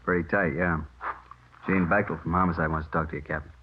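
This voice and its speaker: A terse, businesslike police investigator, played by a male actor, with a very deep voice. He is unhurried and matter-of-fact, in a dull-sounding vintage radio crime drama. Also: level tone, noisy background, close to an everyday voice. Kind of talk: old-time radio police drama acting. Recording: dull old radio recording.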